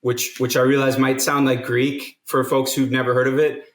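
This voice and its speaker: An earnest man walks through his reasoning one step at a time, mid-pitched and steady. His voice carries a noticeable roomy echo from an indoor space. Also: moderate pace. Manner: earnest, explanatory, builds arguments step by step. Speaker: guest, nonprofit co-founder. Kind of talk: podcast interview.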